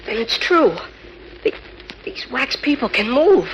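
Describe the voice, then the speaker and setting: A woman's voice: high-pitched, sing-song, measured pace, dull audio. A woman playing a timid, easily spooked teenage boy sidekick in an old-time radio drama, hesitant and boyish. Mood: awed, spooked. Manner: hesitant, boyish slang exclamations. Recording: noisy old radio recording.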